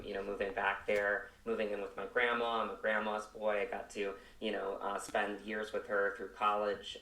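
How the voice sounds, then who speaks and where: A man from Virginia, an actor, giving casual, halting interview answers peppered with "you know". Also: on the low side, fairly bright tone, distant mic. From Virginia, United States. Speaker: actor, podcast guest. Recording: roomy echo, away from mic.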